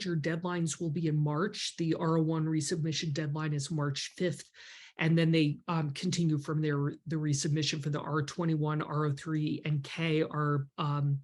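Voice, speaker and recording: Congested woman in her 50s, low-pitched, chatty host explaining on a home video call. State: congested from a cold. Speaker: grant writing mentor hosting a members call. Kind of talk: casual webinar talk with many ums. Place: home office with bookshelves. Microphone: webcam on a video call.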